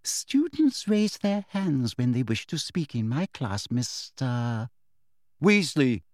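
A man reading an audiobook, voicing a falsely sweet, condescending woman teacher in a soft, raised voice. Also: medium pitch, very animated, slow, no echo, studio quality. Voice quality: sweet, breathy, prissy and patronizing.

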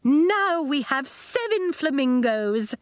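A woman voicing a grand, theatrical, fussy lady bird keeper in a storybook, with gushing, drawn-out exclamations. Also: high-pitched, sing-song, no room sound, clean studio sound, voice pushed up. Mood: delighted.

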